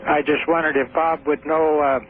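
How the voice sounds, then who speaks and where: A man's voice: a little high, little pitch movement, normal speed, a little hiss or hum. A man calling in to a radio show, polite and methodical, spelling out names as he goes. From Washington state, USA. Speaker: caller. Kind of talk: phone-in call. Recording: tinny phone line on an old radio broadcast.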